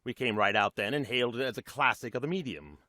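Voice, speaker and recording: An actor playing an urbane, droll host of 1940s radio ghost stories, speaking in a deliberate, grandiloquent way. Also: male voice, mid-range voice, expressive, pushed and bright, dry recording, studio quality. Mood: genial, measured. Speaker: program host.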